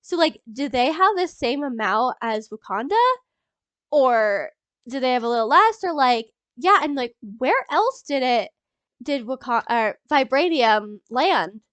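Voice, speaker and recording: A young woman with a stuffy nose from a cold, rambling excitedly in a casual movie reaction vlog, full of ums and little laughs. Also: high voice, a little slow, dry recording. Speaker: YouTuber.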